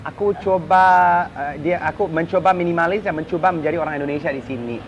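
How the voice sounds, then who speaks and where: A woman with a Malaysian accent chatting casually as a guest, animated and exclamatory, switching between two languages. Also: deep voice, fast. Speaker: guest, TV host and event MC. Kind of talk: casual chat mixing Indonesian and English. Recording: muffled, noisy ambient background.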